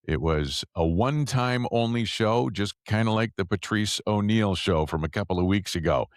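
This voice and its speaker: Low-pitched male radio host, dry sound. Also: normal speed, no echo. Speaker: podcast and radio show host. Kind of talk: podcast intro and sponsor reads, casual radio interview.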